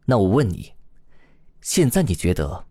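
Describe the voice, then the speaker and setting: A man playing a stern, probing roc demon king and mentor in a fantasy audio drama, husky and imperious. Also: on the low side, huge pitch swings, mellow, no room sound, full character voice. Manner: measured, challenging, imperious.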